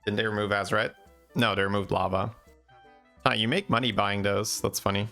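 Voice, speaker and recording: A man giving relaxed, chatty game commentary and answering chat questions, with faint music behind. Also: lowish voice, moderate pace. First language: Dutch. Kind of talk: casual gameplay commentary.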